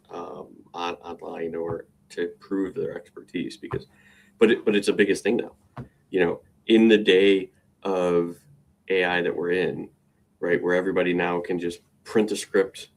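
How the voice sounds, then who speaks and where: Low-voiced guy cohosting a podcast, chatty and upbeat, lots of uh and restarts. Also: gravelly. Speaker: podcast co-host. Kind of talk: casual chat.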